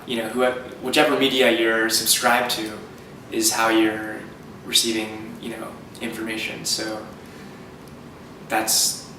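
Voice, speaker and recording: A man's voice: lowish voice, monotone, a little slow. A young man giving hesitant interview answers, repeating words and filling with "like". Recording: very echoey room, distant mic, noticeable noise.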